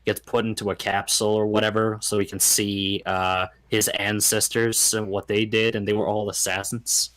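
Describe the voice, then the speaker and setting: A man's voice: on the low side, level tone, normal speed, few pauses, a bit bright. A man chatting casually as a podcast guest, explaining details and making corrections. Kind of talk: casual chat.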